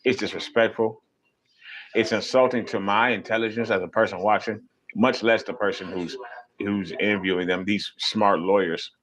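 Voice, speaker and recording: Profane, animated male live-streamer. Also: normal speed, low-quality recording. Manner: animated, profane, mocking, jumps into high mimicking voices. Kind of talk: casual live-stream rant.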